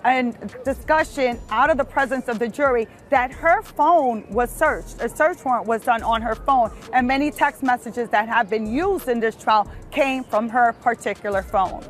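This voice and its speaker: High-pitched woman giving legal commentary. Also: moderate pace, few pauses, soft background music. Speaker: legal analyst. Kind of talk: spoken news commentary.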